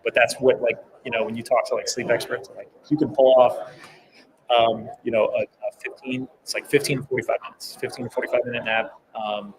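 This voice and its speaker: A man's voice: mid-range voice, flat delivery, a little fast, a crowd in the background. A man co-hosting a casual podcast, enthusiastically explaining sleep habits with many fillers. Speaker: podcast co-host. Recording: noisy live event floor.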